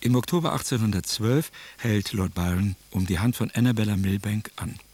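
A man giving scripted documentary narration in a factual, measured manner. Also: on the low side, a little mellow, husky.

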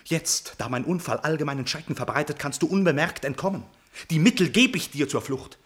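High-voiced, ardent young prince. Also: male voice, expressive, fairly quick, a bit bright. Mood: urgent pleading. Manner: impassioned declamatory verse delivery. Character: noble, ardent young prince, honourable and lovestruck. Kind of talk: classical German radio tragedy acting.

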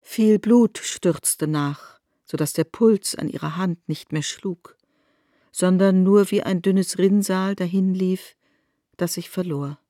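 A woman narrating a literary radio drama in a measured, restrained manner. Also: lowish voice, mellow, no echo, clean studio sound. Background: faint instrumental music.

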